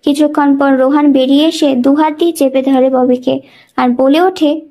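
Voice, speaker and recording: A woman's voice: high-pitched, fairly even intonation, normal speed, dark tone. A woman reading a scripted romantic story as a dramatic narrator.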